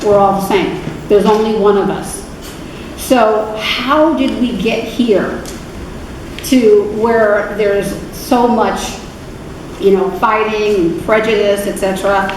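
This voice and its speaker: A woman giving an informal history talk to an adult church class, unhurried, with many fillers and self-corrections. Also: mid-range voice, fairly even intonation. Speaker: guest speaker at adult faith class. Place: church meeting room. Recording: very echoey, distant, noisy room recording.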